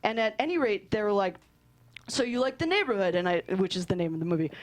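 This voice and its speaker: A woman's voice: mid-range voice, fast talker. A woman guest telling stories casually and comedically, swearing and acting out what people said. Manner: animated quoting, sweary, laughs often, says "like" a lot. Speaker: guest screenwriter and filmmaker. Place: podcast studio.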